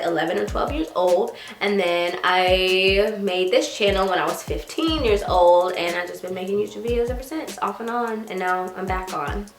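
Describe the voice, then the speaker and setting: A woman in her twenties from Texas, chatting casually as she answers viewer questions, giggling often and sometimes trailing into a soft mumble. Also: medium pitch. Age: 20s. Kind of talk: casual chat. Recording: roomy echo with faint music.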